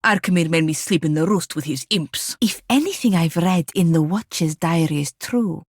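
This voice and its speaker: Haughty, sharp-tongued wizard woman. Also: on the low side, lively intonation, normal speed, breathy edge, no echo. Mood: dry, aloof. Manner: disdainful, dry, impatient, formal wording. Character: haughty, sharp-tongued female wizard, a ship's crew sidekick. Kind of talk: video game character dialogue and battle barks.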